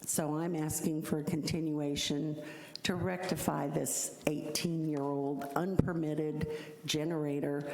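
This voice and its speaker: A middle-aged woman, an insurance agent, earnestly explaining her case at a city code hearing in a loud voice. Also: low voice, little pitch movement, a little slow, a little hiss or hum. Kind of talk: public hearing testimony. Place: city hall hearing room. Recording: echoey hall from council mic.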